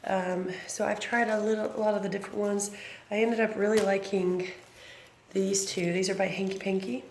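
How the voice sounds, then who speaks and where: A woman in her thirties, raspy from bronchitis, chatting casually through an unboxing with many ums and self-corrections. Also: mid-range voice, little pitch movement, moderate pace, darkish tone. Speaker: vlogger. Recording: echoey room with background noise.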